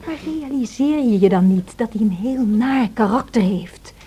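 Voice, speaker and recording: A woman's voice: on the high side, animated, normal speed, dark tone, husky. An actress playing a spirited, imperious young married woman in a radio drama, theatrical and haughty. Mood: haughty, warning. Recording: noisy old radio drama recording.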